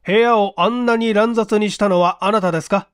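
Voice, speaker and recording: A woman's voice: mid-range voice, steady tone, fairly quick, clear, resonant tone, dry recording, clean studio sound, pitched above the performer's own voice, close to an everyday voice. A woman voicing a stern male detective chief in a mystery story, with curt, authoritative questioning.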